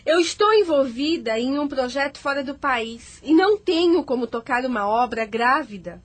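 A defiant, troubled career woman voiced by an actress in a radio play. Her voice is high, and her delivery is emotional and theatrical. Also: expressive, moderate pace. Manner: emotional, dramatic, argumentative. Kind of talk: radio drama acting.

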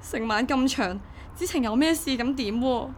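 A woman's voice: sits high, moderate pace, slight background noise, lightly acted. A woman acting a burdened, devoted young single mother in a radio drama, emotive and confiding, with a Hong Kong Cantonese accent. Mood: distraught.

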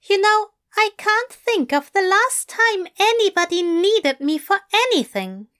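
Fussy, earnest gentleman angel, put on by a woman, high and animated. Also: a little slow, full character voice. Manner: bright, fluttery, earnest.

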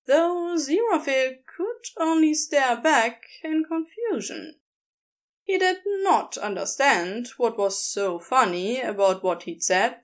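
Female story narrator, higher-pitched, expressive and animated, very unhurried pace. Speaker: podfic narrator.

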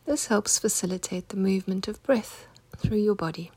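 A woman guides a meditation in a hushed, husky voice with a noticeable creak. She speaks gently and without hurry, soothing as she instructs. The sound is close and dry, with no room around it. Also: medium pitch, moderate pace, dry recording. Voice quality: soft, breathy, husky, near-whispered.

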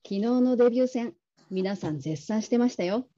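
A woman playing a polite, caring call-centre manager in a radio drama, gentle and measured, on slightly dull audio. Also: on the high side, animated. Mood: friendly, courteous.

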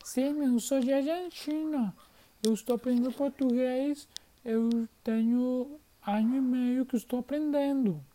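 A performer putting on a bright young boy from Argentina who is still learning Portuguese. He speaks slowly and haltingly, in a mellow tone, and repeats words while he hunts for the next one. His voice sits well above the performer's usual pitch. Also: fairly even intonation, low-quality recording. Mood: eager.

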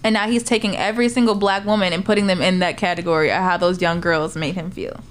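A woman's voice: on the low side, fairly even intonation, fast talker. A woman guest on a casual podcast, speaking earnestly and backing up points with emphatic agreement. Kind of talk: casual chat.

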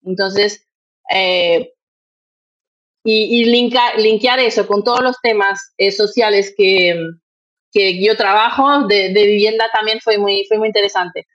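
A woman's voice: mid-range voice, fairly even intonation, unhurried, fairly bright tone. Brazilian woman in a dull recording. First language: Portuguese. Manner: thinks aloud, frequent tag questions. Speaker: interview guest, nonprofit executive director. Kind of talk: conversational interview answers.

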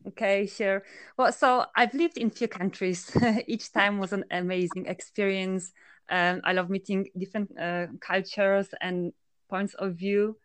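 A woman's voice: mid-range voice, steady tone, a little slow, smooth, no room sound. A woman from Poland, a working mother, answering interview questions in a hesitant way with many fillers. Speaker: interview guest, blogger.

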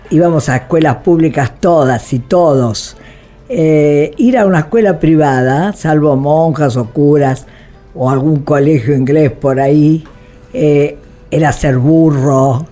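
Elderly Argentine woman, soft mellow voice. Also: sits low, slow, faint music behind. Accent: Argentine Spanish. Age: 88 years old. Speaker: interview guest, president of a human rights association. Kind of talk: conversational interview, reminiscing.

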